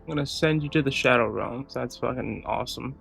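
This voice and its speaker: A man's voice: mid-range voice, a little fast, vocal fry, fairly bright tone. A man giving casual, offhand gameplay reactions as a streamer, informal and prone to swearing. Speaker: video game streamer. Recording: faint game music behind.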